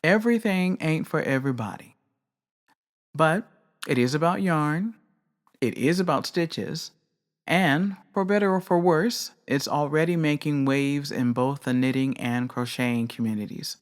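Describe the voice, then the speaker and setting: Measured, candid woman hosting a craft show. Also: deep voice. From not British. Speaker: podcast host. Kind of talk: reflective solo commentary.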